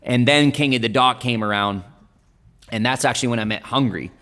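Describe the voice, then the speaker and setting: A man's voice: medium pitch, a little fast, a bit bright, long reverb tail. A young man whose first languages are German and Italian, telling his life story in a rambling, slangy way in a casual podcast interview. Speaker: guest rapper.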